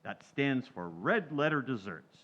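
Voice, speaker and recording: Animated man as a vintage radio announcer. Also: mid-range voice, unhurried. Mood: cheery selling. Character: cheery, folksy 1940s radio pitchman announcer. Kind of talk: 1940s radio ad and scene narration. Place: community theatre stage radio recreation. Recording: dull, lo-fi stage recording.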